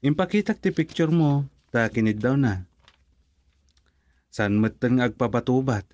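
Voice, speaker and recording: A man's voice: medium pitch, unhurried, a little mellow, dry recording, natural voice. A man with an Ilocano accent playing an earnest, persistent young boyfriend in a romantic radio drama. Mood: affectionate, teasing.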